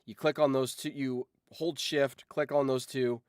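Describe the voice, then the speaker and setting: A man's voice: mid-range voice, flat delivery, a little slow, clean tone, dry recording. Casual man streamer with a close, dry sound. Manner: rambling, freely swearing. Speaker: streamer and video editor chatting with viewers. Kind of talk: casual livestream chat.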